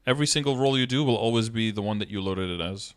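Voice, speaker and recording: A man's voice: fairly low pitch, fast, clear, resonant tone. Man casually explaining on a live stream. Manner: explanatory, step by step. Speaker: game streamer. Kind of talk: casual live-stream tutorial, answering chat questions. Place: indoor room, streaming setup.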